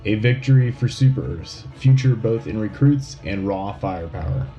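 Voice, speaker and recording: A man performs a solemn wartime radio newsman with a low, dark voice. He delivers scripted bulletins in a grave, measured, rousing way. The sound is a little muffled, with faint music underneath. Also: close to an everyday voice. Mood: grave, urgent. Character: solemn patriotic male wartime radio news anchor. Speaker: radio host. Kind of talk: scripted dramatic radio newscast.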